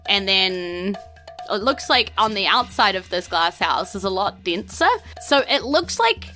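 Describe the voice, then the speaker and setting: A woman with a New Zealand accent runs a tabletop game in a casual, chatty way. Her voice is bright and sits at a medium pitch. Music plays faintly behind her. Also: lively intonation, unhurried. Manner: informal, chatty, uses playful slang. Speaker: game master of a tabletop roleplaying podcast. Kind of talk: casual, improvised game narration.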